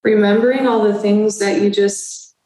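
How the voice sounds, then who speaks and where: A woman guiding a slow, soothing body-awareness meditation. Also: mid-range voice, steady tone, dark tone, roomy sound, poor audio. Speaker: meditation guide.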